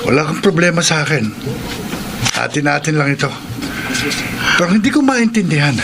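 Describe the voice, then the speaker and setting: Nervous stammery buddy in a radio drama, really high voice, noisy recording. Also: male voice, lively intonation, moderate pace, vocal fry. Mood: concerned, soothing. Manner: stammering, repeats words. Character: nervous, stammering male friend, a soothing confidant. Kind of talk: Filipino radio soap acting.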